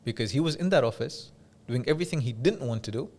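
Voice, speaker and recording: A man from Dubai speaking as an interview guest, telling stories in a measured, conversational way with pauses and repeated phrases for emphasis. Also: mid-range voice, lively intonation, a little mellow. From Dubai, UAE. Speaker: podcast guest, business coach.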